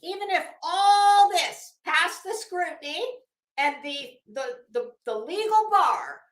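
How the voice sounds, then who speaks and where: A woman's voice: very high voice, lively intonation, slow pace. A woman commentator reading aloud and riffing in a sarcastic, taunting, emphatic way, blunt and given to swearing. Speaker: online commentator reading a legal motion aloud. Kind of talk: dramatic reading with mocking asides. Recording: echoey room.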